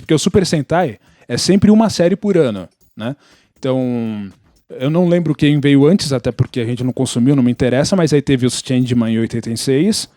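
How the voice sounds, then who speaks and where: Higher-voiced Brazilian man, mellow and explanatory. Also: no room sound, clean studio sound. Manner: opinionated, explanatory, informal with swearing. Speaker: podcast participant. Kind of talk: casual pop-culture chat.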